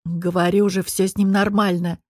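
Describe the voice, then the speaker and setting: A woman voicing a gruff, dismissive young man in audiobook dialogue, curt and muttering. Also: medium pitch, level tone, normal speed, no echo, studio quality, lightly acted. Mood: dismissive, grumbling.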